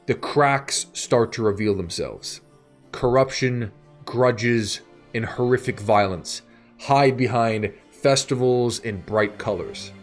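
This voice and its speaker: A man narrating a scripted video essay in a measured way, pausing between short phrases. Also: mid-range voice. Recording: music bed behind the voice. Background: background music.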